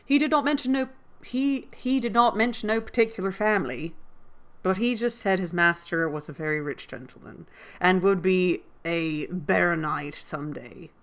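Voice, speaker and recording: A woman's voice: mid-range voice, animated, a little slow, lightly acted. A woman voicing a deferential, chatty male inn waiter with rustic, plain-spoken manners in a storybook. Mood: respectful.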